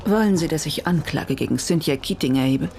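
An actress playing a tough, sceptical prosecutor in a radio drama, speaking brusquely. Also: female voice, low voice, a little fast, warm tone, faint music behind.

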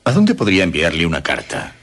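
A man's voice: low voice, wildly swooping pitch, quick pace, fairly bright tone, a little hiss or hum, heavily acted. An actor playing a courtly, old-fashioned, protective middle-aged father in a radio drama, formal and gallant in his delivery. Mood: concerned, insistent. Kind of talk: Spanish radio drama acting.

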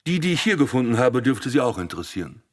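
An actor playing a sly, sardonic police commissioner in a radio play, teasing and probing. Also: male voice, medium pitch, sing-song, fast talker, close to an everyday voice. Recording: studio radio drama in reverberant room.

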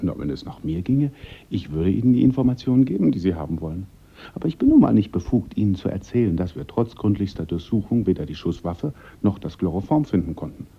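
A man's voice: fairly low pitch, big pitch swings, fast, gravelly, slightly rough, full character voice. An actor playing a talkative, deferential male police sergeant in a German radio drama, with an officious, knowing manner. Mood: sly, confiding. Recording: muffled, faintly noisy radio recording.

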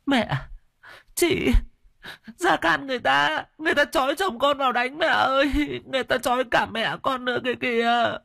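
A man voicing a delirious young pregnant widow, wailing and pleading in a shrill, sobbing voice. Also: normal speed, vocal fry, fairly bright tone, no room sound, clean studio sound, full character voice. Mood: frantic crying.

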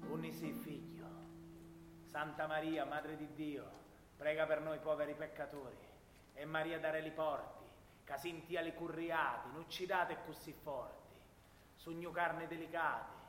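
A man's voice: fairly high pitch, fairly even intonation, a little slow, lightly acted. A man performing a grieving mother's folk lament for a soldier son, half sung, mournful and incantatory, with a southern Italian accent. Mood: foreboding, prayerful. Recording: echoey hall, loud plucked guitar.

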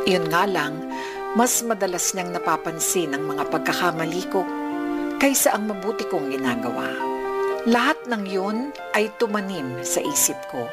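An actor playing a sulky, defiant young man in a radio drama, with music behind his voice. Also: sits high. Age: young adult. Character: sulky, defiant, possessive young son and boyfriend. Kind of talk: radio drama acting and narration.

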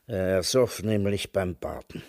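An actor playing a melancholy, sardonic old intellectual in a radio drama, speaking in a deliberate, ironic and literate way. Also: male voice, deep voice, a little mellow, dry recording, clean studio sound. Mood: mocking, ironic. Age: older.

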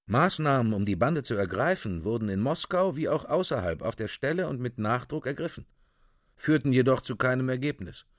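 An actor narrating a radio play like an official report, factual and clipped. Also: male voice, mid-range voice, lively intonation, brisk, dry recording, studio quality, natural voice. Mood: matter-of-fact. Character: matter-of-fact male chronicler reporting official findings.

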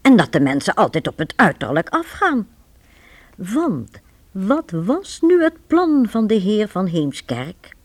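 Expressive woman storyteller, closely recorded. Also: fairly high pitch, dry recording. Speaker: narrator. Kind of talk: scripted radio play storytelling.

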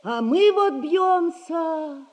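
A woman's voice: extremely high pitch, expressive, very slow, heavily acted. An actress playing an anxious, pleading middle-aged mother in debt, in a 1960s radio play. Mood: worried. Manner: pleading, fretful. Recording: long stage reverb, 1960s radio play.

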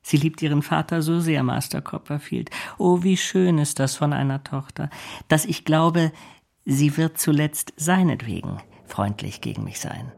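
An actor playing a fawning, falsely humble, scheming clerk in a German radio drama, with servile, self-abasing manners and a raspy voice. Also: male voice, on the high side, a little slow, darkish tone. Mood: hushed, insinuating. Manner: servile, obsequious, gushing with self-abasement.

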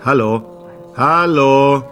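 A man's voice: mid-range voice, very slow, a bit bright, faint music behind. A man playing a sardonic, gossipy jazz musician in a German radio drama, mocking and blunt. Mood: mocking, chatty.

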